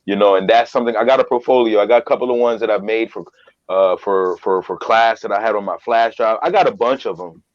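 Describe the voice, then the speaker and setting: A man's voice: fairly low pitch, quick pace, no echo. A man talking casually as a guest, often repeating words and phrases for emphasis, on a lo-fi recording. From Trinidadian family. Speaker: guest, voice-over performer and business owner. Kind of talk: casual chat. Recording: dull lo-fi audio.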